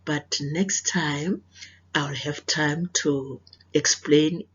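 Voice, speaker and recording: A South African woman, a native Sepedi speaker with a husky, breathy voice, reading to children as a teacher, explaining and questioning as she goes. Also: deep voice, steady tone, drawn-out words, muffled recording, slight background noise. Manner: didactic, repeats phrases, questions listeners. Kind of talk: children's read-aloud with moral lesson.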